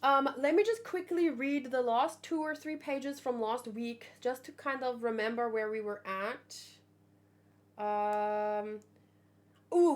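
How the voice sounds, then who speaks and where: Slow-paced, higher-voiced woman chatting casually. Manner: expressive, thinking aloud, chatty. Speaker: manga read-along video host. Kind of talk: casual reaction commentary with read-aloud dialogue.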